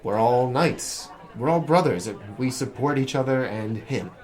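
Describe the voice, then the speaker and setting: Young male gambler in debt, played by a man, noir audio drama, nervous and stammering, animated intonation. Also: medium pitch, measured pace, lightly acted. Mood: guarded. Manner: stammering, hesitant.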